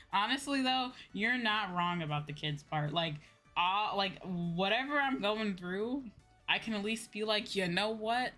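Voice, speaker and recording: An American woman chatting casually to viewers as a livestream host, animated and repeating phrases for emphasis. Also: medium pitch, measured pace, faint music behind. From United States.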